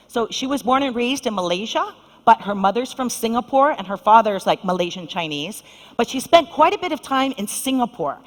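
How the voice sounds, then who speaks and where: Upbeat woman moderating in a big hall. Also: a little high. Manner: upbeat, addresses audience directly. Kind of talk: conference panel introduction. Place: conference hall stage. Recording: long reverb of a large hall.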